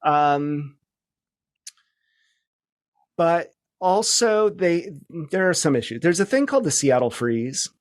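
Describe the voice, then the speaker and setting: A middle-aged man speaking as a podcast guest, chatting casually and somewhat haltingly as he thinks aloud. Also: fairly high pitch, expressive, dry recording, clean studio sound. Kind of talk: casual conversational storytelling.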